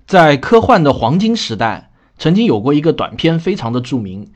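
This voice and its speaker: A man speaking as a podcast host, explaining in an earnest, deliberate monologue. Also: fairly high pitch, very animated, lo-fi sound, faint music behind. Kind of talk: spoken introduction, explanatory monologue.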